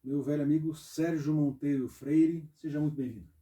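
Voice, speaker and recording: A man hosting an interview in a music studio, speaking in a measured way. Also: on the high side, steady tone, warm tone, far from the mic. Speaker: interview host, music professor.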